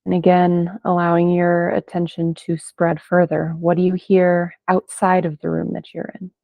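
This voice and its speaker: Phone-like, even-toned woman guiding meditation. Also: fairly low pitch, vocal fry, no room sound. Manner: gentle, unhurried phrases with long pauses. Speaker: meditation guide. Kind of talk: guided meditation. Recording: band-limited, phone-like sound, dry.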